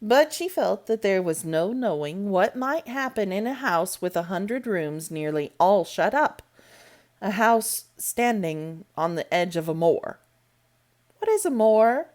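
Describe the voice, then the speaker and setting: A woman reading a classic novel aloud as storybook narration, in a deliberate, measured manner. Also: mid-range voice, animated, a little mellow. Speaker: storybook reader. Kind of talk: read-aloud audiobook narration.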